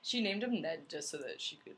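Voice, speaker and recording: A woman's voice: low-pitched, expressive, fairly quick. A woman podcast panelist tossing in casual, joking banter. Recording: echoey room, loud group chatter.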